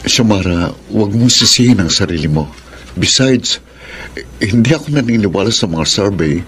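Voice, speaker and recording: A deep-voiced, earnest Filipino politician. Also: male voice, expressive, moderate pace, a bit bright, a little hiss or hum. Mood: reassuring. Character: steadfast, loving male governor, betrayed grandson. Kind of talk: Filipino radio drama acting.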